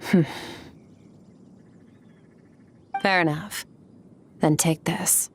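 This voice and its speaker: A woman's voice: low-pitched, fairly even intonation, slow, noisy background. A woman playing a stoic, battle-hardened, aloof female warrior in a fantasy game, terse and commanding. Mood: stoic, brisk. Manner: terse, matter-of-fact, commanding. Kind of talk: scripted fantasy game voice acting.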